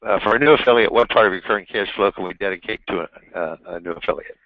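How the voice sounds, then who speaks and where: A man moderating an investor call over the telephone, reading out questions in a halting, fumbling way. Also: on the low side, slight background noise. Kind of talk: unscripted question-and-answer on an earnings call. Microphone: telephone on a conference call. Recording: narrow tinny phone line.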